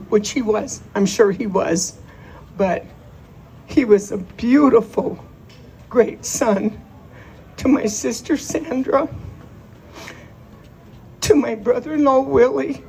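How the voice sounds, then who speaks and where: A woman's voice: medium pitch, dark tone, a little hiss or hum, poor audio. A woman speaking at a memorial as the deceased's aunt, heartfelt and emotional, at times close to tears. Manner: heartfelt, tearful at times, with laughs. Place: memorial gathering.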